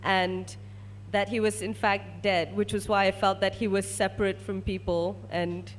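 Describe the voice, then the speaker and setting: A woman's voice: mid-range voice, little pitch movement, unhurried, a little hiss or hum. A woman from Malaysia, a research scientist, telling a personal story to an audience as a testimonial.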